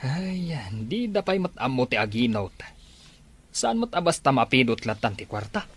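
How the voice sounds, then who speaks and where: A man's voice: medium pitch, very animated, moderate pace, breathy edge. A man with an Ilocano accent playing a stern, disgruntled farmer father in a family drama. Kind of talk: amateur Ilocano radio-style family drama.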